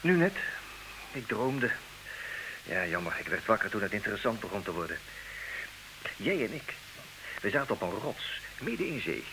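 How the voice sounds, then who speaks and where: A man acting in a comedic radio play as a formal, businesslike suitor, stubborn and dryly exasperated, speaking with measured, theatrical emphasis. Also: fairly low pitch, big pitch swings. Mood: sleepy, dryly storytelling. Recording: noisy, hissy old radio recording.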